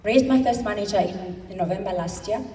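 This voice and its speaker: A South African woman in her thirties giving an emphatic motivational speech at a convention, stretching her words into slow dramatic pauses. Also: medium pitch, mellow, a little hiss or hum. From South Africa, Eastern Cape. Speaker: convention speaker. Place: large hall. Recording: echoey hall, speaker far from mic.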